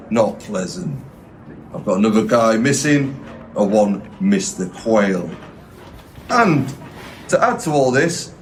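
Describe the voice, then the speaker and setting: An actor playing a blunt, cynical police detective in an audio drama, with a formal, sardonic way of talking. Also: male voice, mid-range voice, a little hiss or hum, natural voice.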